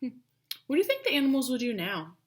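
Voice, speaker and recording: A woman teacher reading a children's storybook aloud, expressive and emphatic, pausing to think aloud and ask questions. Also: high-pitched.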